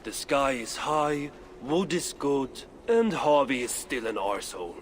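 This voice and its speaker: A gruff, crude old dwarf. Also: male voice, mid-range voice, very animated, unhurried, a little hiss or hum. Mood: wry banter. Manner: gruff, sardonic banter. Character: crude, ill-tempered old male dwarf, wry and foul-mouthed. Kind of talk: video game cutscene acting.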